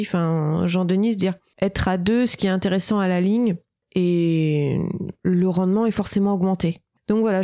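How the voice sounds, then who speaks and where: A middle-aged woman talks about her working life in a radio interview. She hesitates and restarts often, filling the gaps with short sounds. Her voice is mid-pitched and recorded dry and close. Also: darkish tone, no room sound. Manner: hesitant, full of fillers and restarts. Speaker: fisher and outdoor lecturer.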